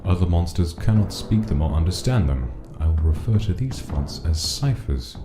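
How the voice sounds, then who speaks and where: A man playing a kindly, patient old monster king, speaking gently and reassuringly in a comic dub. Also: low-pitched, animated, moderate pace. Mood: measured, pensive. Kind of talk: comic dub acting with reflective narration. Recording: roomy, clear music underneath.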